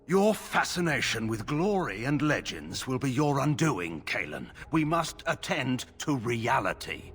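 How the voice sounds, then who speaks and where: An actor playing a grim, distrustful older general in a video game, speaking in a stern, scornful manner. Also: male voice, mid-range voice, very animated, a little slow, few pauses, a bit bright, husky, soft background music, heavily acted.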